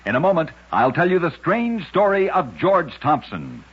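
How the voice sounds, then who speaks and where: A man narrating strange true stories in a scripted old-time radio style, with a dramatic, measured delivery. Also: on the high side, huge pitch swings, slightly rough. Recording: dull, lo-fi old radio recording.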